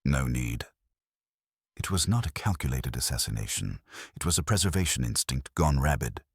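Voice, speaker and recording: A stern, ponderous detective of an audiobook mystery, played by a male narrator. The voice is extremely low and rough-edged, and he pronounces each terse judgment formally, without sentiment. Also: very animated, moderate pace, no room sound, clean studio sound, exaggerated voice. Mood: grave, dismissive.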